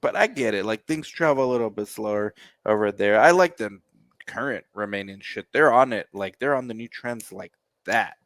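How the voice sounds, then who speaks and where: A man's voice: lowish voice, normal speed, a bit bright, no echo. A man, co-hosting a casual music reaction show, joking and sarcastic as he rambles. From United States.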